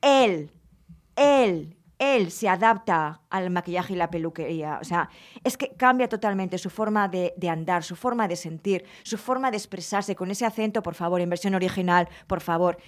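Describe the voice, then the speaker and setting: A woman's voice: lowish voice, moderate pace. A woman hosting a casual podcast chat, talking with enthusiasm and emphasis. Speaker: podcast host.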